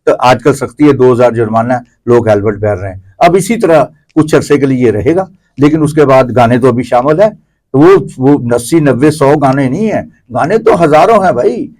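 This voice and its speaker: A man's voice: medium pitch, expressive, a little mellow, low-quality recording. A middle-aged Pakistani man giving opinions as an interview guest, explaining at length and repeating phrases conversationally. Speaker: interview guest, theatre commentator.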